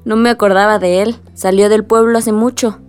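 An actress playing a spirited young Mexican village woman in a radio drama, expressive and colloquial. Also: medium pitch, normal speed, smooth, close to an everyday voice. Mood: chatty.

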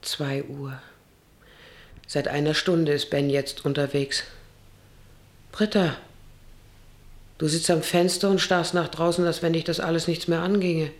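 A woman's voice: low voice, steady tone, measured pace, pauses often. An actress playing an anxious, reproachful middle-aged mother in a German radio play. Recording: roomy echo, faint noise.

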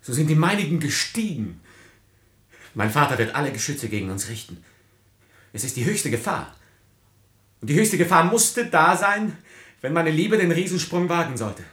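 An actor playing an ardent, jealous young nobleman in a classic German radio tragedy, impassioned and swinging between hushed and forceful speech. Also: on the high side, very animated, moderate pace, long pauses, breathy edge, heavily acted. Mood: ardent, tender. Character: ardent, impetuous, jealous young aristocratic lover.

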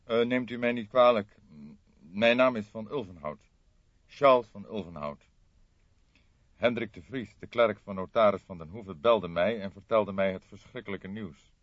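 A man voicing a courteous, apologetic gentleman in his forties in an audiobook, speaking formally and emphatically. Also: on the low side, normal speed, no room sound, voice pushed up, lightly acted. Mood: apologetic, shaken. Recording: dull, dry lo-fi audiobook recording.

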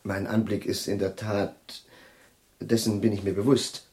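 A man playing an absent-minded, nervous chemistry professor in a radio play, formally polite and often halting as he gropes for words. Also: on the low side, little pitch movement, a little mellow. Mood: nervous, courteous. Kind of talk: German radio play acting.

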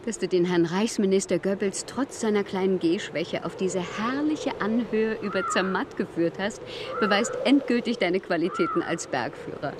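A woman's voice: medium pitch, moderate pace, close to an everyday voice. Woman acting poised teasing society lady in a radio play, medium voice, noisy outdoor sound. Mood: flattering, teasing. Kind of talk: German radio drama acting.

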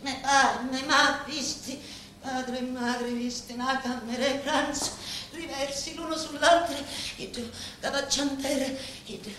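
A woman acting a grieving, vengeful daughter in a theatrical monologue, with a Sicilian accent and halting, incantatory delivery full of repeated words. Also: high voice, steady tone, fairly bright tone. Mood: anguished, grieving. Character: grieving, vengeful daughter of murdered parents, confiding in a boss. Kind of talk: theatrical dramatic monologue in dialect-laced Italian. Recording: echoey, distant, faintly noisy stage recording.

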